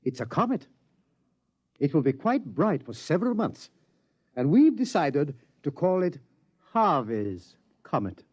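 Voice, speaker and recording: An actor playing a nervous astronomer who stammers and gets flustered, in 1950s radio drama style. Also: male voice, fairly high pitch, very animated, a little slow, darkish tone, breathy edge, heavily acted. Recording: dull old radio recording.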